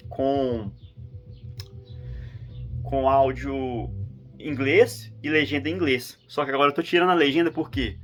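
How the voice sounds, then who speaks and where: A man's voice: mid-range voice, fairly bright tone. A man from in Brazil, vlogging in a rambling, casual monologue full of fillers and restarts.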